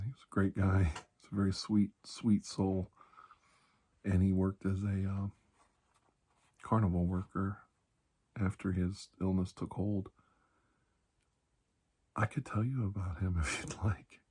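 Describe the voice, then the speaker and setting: A man speaking in a soft, breathy ASMR roleplay as a calm, kindly doctor, gentle and reassuring with unhurried pauses. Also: low-pitched. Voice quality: breathy, hushed. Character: calm, kindly male doctor soothing a frightened patient. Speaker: ASMR performer playing a doctor.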